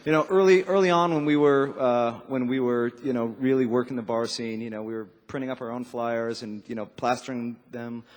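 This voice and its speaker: A man's voice: medium pitch, steady tone. Band guy chatting, mid voice, keeps restarting, echoey theatre. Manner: halting, repetitive. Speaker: band member being interviewed. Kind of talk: casual chat. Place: on a theatre stage.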